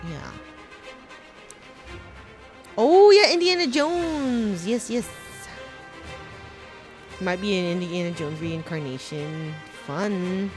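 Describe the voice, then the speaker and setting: A young woman chatting casually while streaming a game, giggly and delighted. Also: fairly low pitch. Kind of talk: casual live-stream chat.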